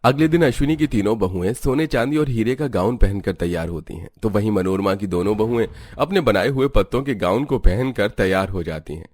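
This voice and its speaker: Male narrator for a family cartoon tale, lowish voice, very dry close sound. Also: a little fast, few pauses, darkish tone, no echo. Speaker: story narrator.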